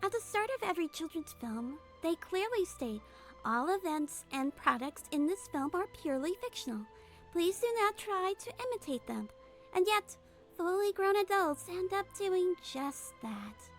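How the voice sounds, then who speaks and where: Little girlish head nurse, very high pitch, sweet, earnest, measured, game dub, faint music behind. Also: sing-song, full character voice. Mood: weary, thoughtful. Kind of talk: game fan dub dialogue.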